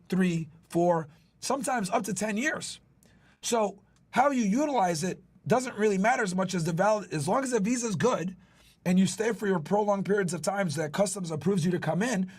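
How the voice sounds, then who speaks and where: High-pitched man, emphatic livestream explainer. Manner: emphatic, repeats key points, casual profanity; checks in with listeners using "right?", "okay?". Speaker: livestream host, former federal agent.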